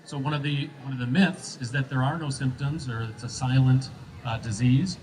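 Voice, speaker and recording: A man with a medium-pitched voice explains things in an interview. He speaks as an expert, laying out his points one after another. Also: steady tone, normal speed, a little mellow, a little hiss or hum, rough recording.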